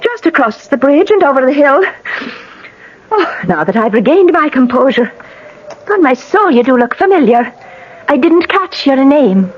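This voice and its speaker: A woman playing a formal, theatrical, highborn Irish lady in a radio drama, with a breathy voice. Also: sing-song, normal speed. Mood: poised. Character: haughty, highborn Irish lady, mysterious and easily alarmed. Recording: dull lo-fi vintage radio recording.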